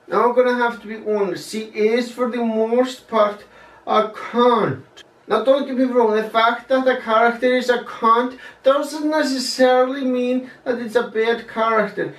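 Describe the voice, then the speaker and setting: A man's voice: very high-pitched, steady tone, a little slow, fairly bright tone. A young man giving a casual, blunt movie review, swearing freely as he talks it through. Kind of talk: casual spoken film review. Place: small plain room indoors, seated. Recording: roomy, mic at a distance.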